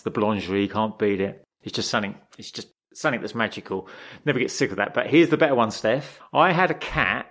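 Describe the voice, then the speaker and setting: A man co-hosting a podcast, with a mid-pitched voice that sits in the middle of the range. He tells his stories in a rambling, fond way, circling back as he goes. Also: fairly bright tone. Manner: rambling, nostalgic storyteller. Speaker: podcast co-host. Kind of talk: casual podcast chat.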